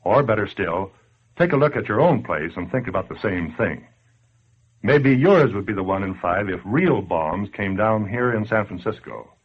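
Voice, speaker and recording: Streetwise tough guy, low husky voice, swooping sardonic delivery, vintage radio drama, dull lo-fi recording. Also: expressive, normal speed. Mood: blunt, urging. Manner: blunt, sardonic, colloquial. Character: tough, slangy streetwise man scolding complacent listeners. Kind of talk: 1940s radio acting, direct address to listener.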